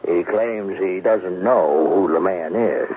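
A man acting a halting, matter-of-fact police detective in a 1970s radio drama. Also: low voice, a little hiss or hum. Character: plodding, hesitant police detective on the case. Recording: thin, phone-like old radio sound.

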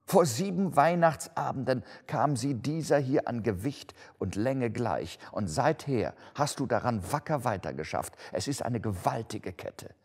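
A man's voice: mid-range voice, lively intonation, a little slow, hardly any pauses, a little mellow, husky, voice pushed up. A man voicing a stern, doomed male ghost in chains for a storybook, solemn, declamatory and lamenting. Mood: bitter, menacing. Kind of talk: dramatic storybook reading. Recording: long hall-like reverb.

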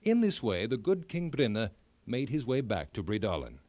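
A man narrating a children's fairy tale in an expressive, measured storytelling style. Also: mid-range voice, no echo.

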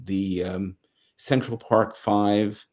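A middle-aged American man giving news commentary on a video call, halting and searching for words. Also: on the low side, fairly even intonation, darkish tone. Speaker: panelist. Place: small home office, indoors.

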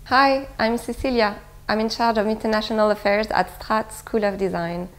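A woman's voice: medium pitch, steady tone, moderate pace, soft background music. Woman presenting a school programme. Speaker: head of international affairs at a design school. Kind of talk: promotional interview to camera. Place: indoor room with bookshelves. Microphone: lapel mic.

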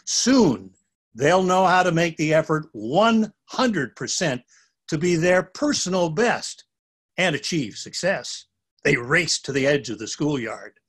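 A man's voice: fairly high pitch, animated, slow, husky. A man in his sixties, an author reading scripted narration for a children's story.

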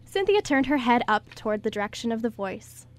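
High-voiced woman narrating a radio story. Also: very animated, brisk.

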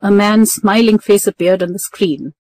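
A woman's voice: mid-range voice, normal speed, a little mellow, dry recording. A woman narrating a scripted children's story.

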